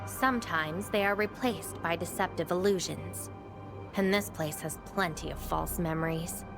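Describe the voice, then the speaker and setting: A woman's voice: fairly low pitch, moderate pace, creaky, music in the background. A woman playing a self-assured, coolly teasing young warrior in a video game, composed and slightly formal. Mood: cool, knowing. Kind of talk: video game cutscene acting.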